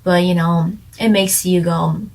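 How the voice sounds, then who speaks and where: A woman's voice: fairly low pitch, flat delivery, measured pace, darkish tone. A woman chatting casually as she reviews a drama, rambling and speculating with lots of fillers. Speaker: drama reviewer, channel co-host.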